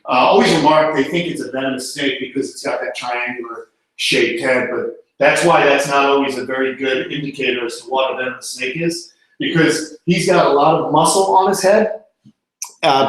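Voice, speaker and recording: A strongly reverberant indoor room surrounds an American man with a mid-range voice. He hosts an animal live stream, talking in a loose, informal, chatty style as he answers questions. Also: medium pitch. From Long Island, New York, United States. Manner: informal, chatty, calls people man and bud. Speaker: animal channel host.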